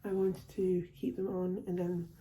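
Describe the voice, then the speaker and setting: Woman doing a haul vid at home, really dark mellow voice, still getting over a cold, bit echoey. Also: on the low side, monotone, moderate pace, slight background noise. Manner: chatty, repeats and restarts phrases. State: recovering from a cold. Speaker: vlogger. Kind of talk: casual haul video. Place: at home, indoors.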